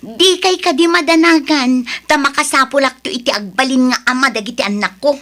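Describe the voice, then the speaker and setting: A demanding young mother in an Ilocano radio drama. Her voice is forceful and a bit high, with an Ilocano accent and a snobbish, sharp temper. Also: brisk. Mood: scornful.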